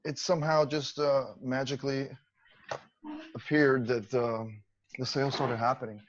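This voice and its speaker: A man's voice: medium pitch, fairly even intonation, moderate pace, muffled recording, slight background noise. A man in his fifties, a guest on a casual video-call interview, telling his story in a chatty, grateful way. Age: 50s. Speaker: interview guest, online seller.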